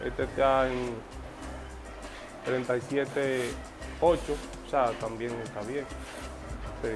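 A man's voice: mid-range voice, steady tone, slow. A middle-aged man, a horse groom, explaining his work in an interview at a wooden stable. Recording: music laid over location speech.

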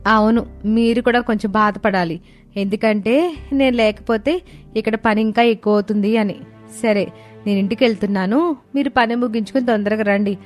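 A woman's voice: fairly high pitch, normal speed, faint music behind, natural voice. A woman voicing a confident, dutiful young wife and mother in a dramatised story, assertive and reassuring. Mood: teasing, firm.

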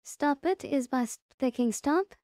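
A woman presents a casual software walkthrough in a high, mellow voice. She instructs one step at a time and circles back to remind the listener of key points. The sound is very dry, with no room around it. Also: animated, a little slow, dry recording. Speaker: tutorial presenter. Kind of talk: casual software tutorial narration.

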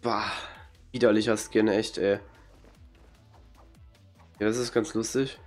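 A man's voice: on the low side, little pitch movement, slow pace, soft background music. A young man with a cold, giving casual, blunt, slangy commentary on a video game as a streamer, talking into a headset in a small room at home. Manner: blunt, slangy, mocking reactions. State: has a cold, occasional coughing. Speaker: gaming streamer.

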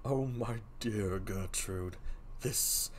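A man voicing a troubled, scheming middle-aged king, speaking in a hushed, brooding way. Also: lowish voice, drawn-out words.

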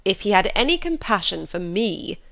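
A woman's voice: medium pitch, very animated, moderate pace, gravelly, fairly bright tone. A woman reading aloud, voicing an exasperated older father who cuts his wife short. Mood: impatient. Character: impatient, dry older father.

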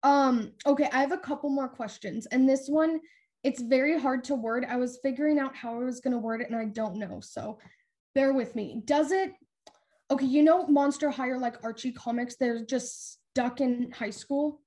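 A young woman hosting a casual video-call interview, enthusiastic and rambling like an excited fan. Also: high-pitched, normal speed. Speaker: interviewer host. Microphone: webcam video call.

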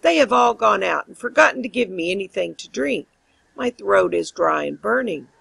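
Woman reader as storybook lark, caged and dying; mournful, gentle, very creaky, swooping intonation. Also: mid-range voice, lively intonation, normal speed, no room sound, full character voice, low-quality recording. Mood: anguished lament. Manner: plaintive, lamenting. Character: dying captive lark, sorrowful, gentle, lamenting.

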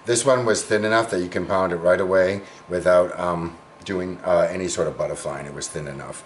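Low-voiced man teaching home cooking. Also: a little fast. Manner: relaxed, explanatory, chatty with small asides. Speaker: home cooking show host. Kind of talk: casual cooking demonstration. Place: home kitchen. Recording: clear background kitchen noise. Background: clattering utensils and dishes.

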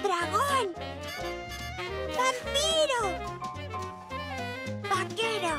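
A performer voicing an excitable, playful little bunny boy in a children's cartoon dub. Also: very high voice, extremely slow, exaggerated voice. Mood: excited. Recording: music behind the voice.

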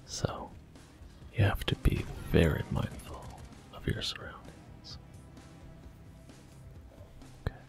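A man softly talking through a video game in whispered ASMR style, slow and gentle. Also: over music. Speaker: ASMR gaming channel host. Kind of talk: soft-spoken ASMR game commentary.

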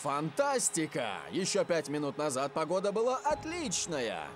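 A man's voice: a little high, normal speed, slight background noise. An actor playing an enthusiastic, easily astonished male football commentator in a cartoon, speaking emphatically. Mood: amazed. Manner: emphatic, animated.

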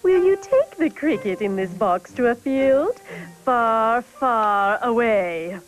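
A woman voicing a fussy, scheming magistrate's wife in a TV cartoon, drawn-out and theatrical. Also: fairly high pitch, lively intonation, soft background music, rough recording. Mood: sly. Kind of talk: TV cartoon acting.

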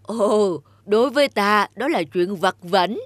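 A woman's voice: medium pitch, lively intonation, a little slow, dry recording. A woman voicing a boastful, irritable male sorcerer in a fairy-tale cartoon, haughty in manner. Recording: music behind.

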